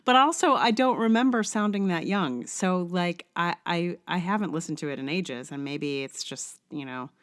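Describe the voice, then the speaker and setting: Low, clear-voiced middle-aged woman podcasting. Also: lively intonation, normal speed, few pauses. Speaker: podcast host. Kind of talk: scripted essay reading, then casual rambling solo podcast talk.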